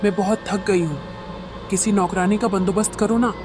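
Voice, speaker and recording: A woman's voice: mid-range voice, steady tone, normal speed, music in the background, lightly acted. A woman voicing an anxious young wife. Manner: tired, worried, insistent. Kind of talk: cartoon voice acting.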